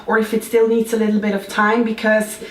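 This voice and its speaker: A woman's voice: mid-range voice, completely flat delivery, brisk. Swiss accent woman doing a casual baking vlog, playful, bit far from the mic in an echoey kitchen. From Switzerland. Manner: playful, informal, self-deprecating. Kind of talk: casual chat to camera. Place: indoors, home kitchen. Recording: roomy echo, distant mic, faint noise.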